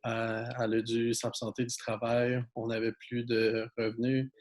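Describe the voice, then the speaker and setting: A young man with a Québécois French accent, a new graduate thanking donors, speaking hesitantly with many pauses and audible emotion. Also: medium pitch, monotone, a little mellow. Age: young adult. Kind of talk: spontaneous thank-you speech. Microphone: video call.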